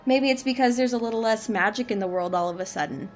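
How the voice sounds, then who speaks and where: A woman's voice: on the high side, quick pace, a little hiss or hum, natural voice. A woman playing the wry director of a secret organisation in a dry comedic audio drama. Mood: wistful.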